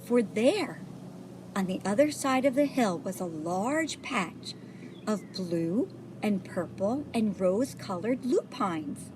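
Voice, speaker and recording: A woman reading a children's picture book aloud, pausing to point things out to young listeners. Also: medium pitch, animated, noisy background. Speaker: storybook reader.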